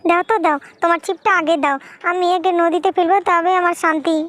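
Extremely high voice, scolding cartoon wife a woman acting, bossy, nagging, exclamatory, fairy-tale dub. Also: steady tone, fast, a bit bright, no room sound.

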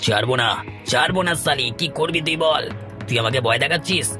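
A man's voice: fairly high pitch, fast talker, lightly acted. A man playing an abusive drunken husband in a melodramatic cartoon, scolding coarsely and aggressively. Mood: angry defiance. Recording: music behind the voice.

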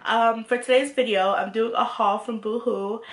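A woman's voice: fairly high pitch, fairly even intonation, normal speed, reverberant. Chatty young woman with a cold. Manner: chatty, gushing, lots of "like". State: congested from a cold. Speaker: fashion haul vlogger. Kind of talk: casual haul chat to camera. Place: small room, glittery backdrop.

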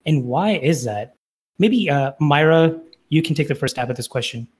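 A man in his late twenties hosting a casual podcast chat, speaking in a relaxed, measured way and asking short questions. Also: fairly high pitch, breathy edge. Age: 20s. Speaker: podcast host.

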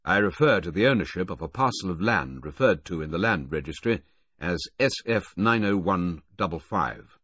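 A stern older brigadier, put on by a man reading a story, lays out charges in clipped, formal sentences. The voice is husky and climbs and falls in a lively way. The recording is dry and a little dull. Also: sits low, normal speed, dry recording, exaggerated voice. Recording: dull lo-fi, dry close audio.

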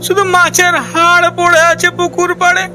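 A narrator playing a ruined village fisherman in an animated folk tale, lamenting and pleading with his elders. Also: very high voice, moderate pace, voice pushed up, heavily acted. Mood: despairing. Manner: lamenting, wailing.

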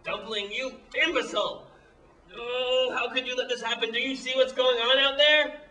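A man's voice: extremely high pitch, steady tone, normal speed, sharp tone, far from the mic. A man voicing a ranting, sadistic office boss in a comedic puppet show, bullying and theatrical, given to gleeful cackling. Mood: outraged. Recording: roomy echo, off the mic.

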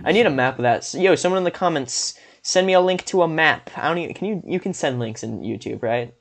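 A man's voice: a little high, animated, fast talker, noisy background. A young man co-hosting a casual podcast over an online call, talking in a joking, rambling way. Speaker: podcast co-host. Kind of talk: casual chat.

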